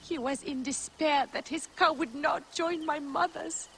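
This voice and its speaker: High-pitched mourning villager. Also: female voice, measured pace, vocal fry, fairly bright tone, a little hiss or hum, heavily acted. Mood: grieving, grateful. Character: bereaved, grateful villager mourning a father. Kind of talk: dramatic video game acting.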